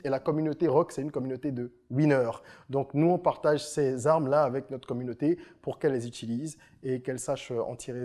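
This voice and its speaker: A man's voice: on the high side, darkish tone. A man hosting a podcast interview, affirming his guest and summing up points with confidence. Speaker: podcast host. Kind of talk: casual interview.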